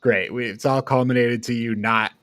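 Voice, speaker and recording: A man's voice: mid-range voice, steady tone, moderate pace, fairly bright tone, clean tone, no room sound, clean studio sound. A man hosting a casual film discussion, talking in a critical, exasperated way with mocking asides. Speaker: podcast host leading a film discussion. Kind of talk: casual review chat.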